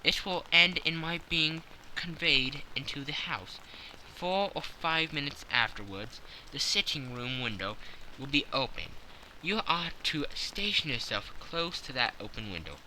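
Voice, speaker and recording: A commanding detective acted out by a man reading an audiobook lays out instructions with precise authority. His tone is very bright and forceful, with lively pitch movement and an unhurried pace. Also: slight background noise, exaggerated voice, low-quality recording. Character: commanding, keen-minded male detective, briskly self-assured. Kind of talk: audiobook character dialogue.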